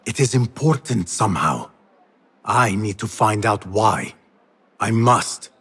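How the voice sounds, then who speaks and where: An actor playing a guarded, determined young former thief, speaking in a terse, serious way in a video game. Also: male voice, lowish voice, animated, takes it slowly, fairly bright tone, breathy edge, exaggerated voice. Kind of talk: video game cutscene acting. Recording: music behind the voice.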